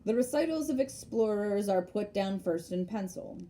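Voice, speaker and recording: Female read-aloud storyteller, soft mellow tone, medium pitch, expressive storybook narration. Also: moderate pace, rough recording.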